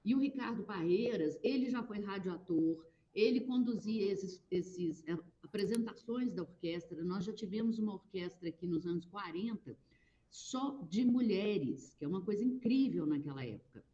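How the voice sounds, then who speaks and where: A woman's voice: mid-range voice, expressive, normal speed, dark tone, muffled recording. A Brazilian woman guest from a radio station answering eagerly, telling its history. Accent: Brazilian Portuguese. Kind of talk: conversational interview answer.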